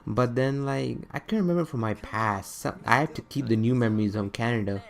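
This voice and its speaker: A man's voice: medium pitch, brisk, vocal fry. A young man giving casual, rambling gaming commentary as he counts down his favourites, often putting questions to himself. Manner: rambling, self-questioning, conversational. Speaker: video creator counting down favourites.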